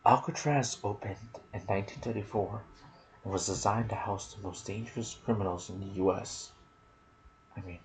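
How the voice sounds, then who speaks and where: Male ASMR gaming host, soft and intimate delivery slipping into whispers, low gravelly register. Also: a little hiss or hum, low-quality recording. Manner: soft-spoken, sometimes dropping to a whisper. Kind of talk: ASMR gaming commentary, reading trivia with asides.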